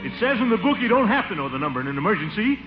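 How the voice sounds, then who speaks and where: An actor playing a pompous, anxious middle-aged commissioner in an American 1940s radio comedy. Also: male voice, high voice, very animated, very fast, loud music behind the voice. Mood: panicked. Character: pompous, jittery middle-aged man, a nervous town commissioner. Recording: scratchy, muffled 1940s radio recording.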